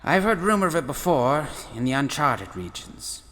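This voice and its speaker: A man's voice: fairly high pitch, big pitch swings, moderate pace, a bit bright. A man playing an intense, protective, scholarly professor in a sci-fi audio drama, theatrical and emphatic. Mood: measured, probing. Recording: long hall reverb, faint music behind.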